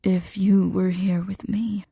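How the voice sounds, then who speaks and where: A woman voicing a frank, aroused adult man who speaks in a slow, breathy whisper in a romance audiobook. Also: mid-range voice, warm tone, no room sound, clean studio sound, exaggerated voice. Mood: husky, intimate.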